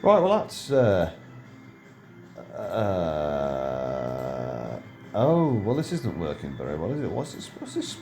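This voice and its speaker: A man's voice: sits low, huge pitch swings, takes it slowly, long pauses, a little mellow, faint music behind. A middle-aged man giving casual, rambling gameplay commentary, full of ums and self-corrections. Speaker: game video creator.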